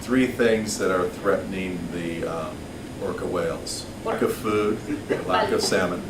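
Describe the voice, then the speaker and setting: Deep-voiced man in an echoey hall. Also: measured pace. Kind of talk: public forum testimony. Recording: echoey distant hall recording with noise.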